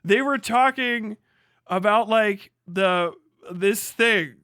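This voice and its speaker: A man's voice: high-pitched, slow, pushed and bright, clear, resonant tone, no echo, studio quality. An American man livestreaming a casual reaction, reading aloud and breaking off into incredulous, sweary asides. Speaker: livestream host.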